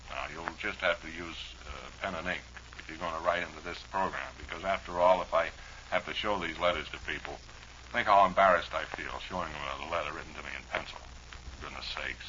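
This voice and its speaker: Male 1940s radio host, low and forceful voice, rambling mock-serious mail-reading chat, muffled vintage broadcast sound. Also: a little hiss or hum. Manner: rambling, dryly humorous, mock-serious asides.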